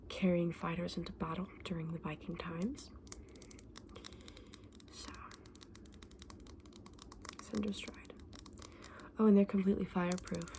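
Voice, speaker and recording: A woman whispering breathily in a gentle, unhurried ASMR roleplay as a shopkeeper talking to a customer. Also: lowish voice, slight background noise. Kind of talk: whispered ASMR roleplay as a dragon egg saleswoman.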